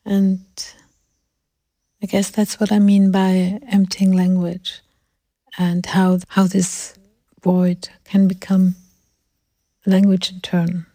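A woman's voice: medium pitch, monotone, slow pace, long pauses, warm tone, no room sound. A woman who is not a native English speaker, talking reflectively about art and searching for words as they go. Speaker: artist in conversation.

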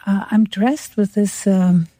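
A woman speaking English as a second language in a very mellow, relaxed voice, close and dry. They take their time over reflective talk about art, halting to find the right word. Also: medium pitch, no echo. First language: not English. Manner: halting, searching for words. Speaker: artist in conversation.

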